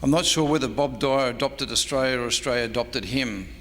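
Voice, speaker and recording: A man's voice: mid-range voice, a little fast, fairly bright tone. A man presenting an informal history lecture at a convention. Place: convention talk indoors. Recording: convention room reverb, faint noise.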